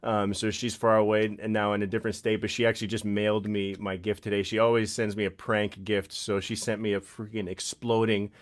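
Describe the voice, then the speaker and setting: A man in his forties co-hosting a casual livestream chat, telling lively stories and acting out the voices of people he quotes, over a home microphone on a video call. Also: lowish voice, fairly quick, few pauses. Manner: animated storyteller, acts out quoted voices. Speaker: livestream co-host.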